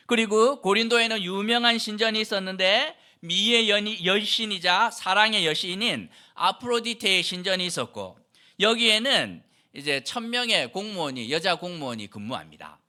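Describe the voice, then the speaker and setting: A man's voice: high-pitched, sharp tone. A middle-aged male pastor from Andong preaching a sermon in an explanatory, conversational way, posing rhetorical questions to the congregation. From Andong, South Korea.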